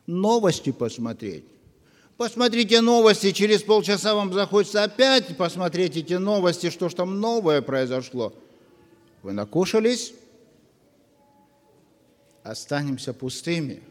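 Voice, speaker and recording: A man preaching a sermon to his congregation, earnest and full of pauses. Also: high-pitched, expressive. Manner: slow, earnest, with long pauses. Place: church hall. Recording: long reverb of a church hall.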